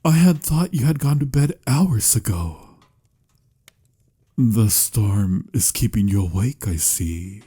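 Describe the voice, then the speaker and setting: A man playing a melancholy, courtly vampire lover in a romantic ASMR audio roleplay, speaking softly in formal, old-fashioned, measured phrases with a breathy, husky voice. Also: fairly low pitch, lively intonation, heavily acted. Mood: gently formal. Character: melancholy, courtly male vampire lover, patient but pained.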